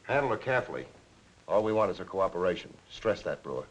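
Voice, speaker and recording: A man's voice: low voice, big pitch swings, quick pace. A man playing a curt, businesslike police lieutenant in a 1940s crime film, with a clipped, authoritative manner. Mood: brisk, commanding. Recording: noisy old 1940s film soundtrack.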